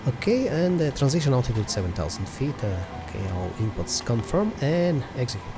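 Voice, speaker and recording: A man's voice: medium pitch, sing-song, brisk, music in the background. A man hosting a flight simulator video, giving methodical step-by-step commentary in a hesitant delivery.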